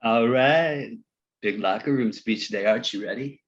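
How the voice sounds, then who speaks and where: A man's voice: medium pitch, measured pace, lo-fi sound, lightly acted. A man playing an eager, well-meaning man-child film director in his late forties. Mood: gung-ho.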